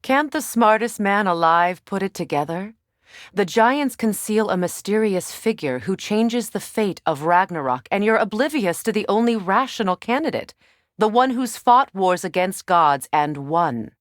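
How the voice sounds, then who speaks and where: A woman playing a guarded, battle-hardened warrior goddess and sorceress in a video game, measured, dry and pointed in her delivery. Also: medium pitch, lively intonation, dry recording, close to an everyday voice. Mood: pointed, challenging. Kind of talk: dramatic video game character acting.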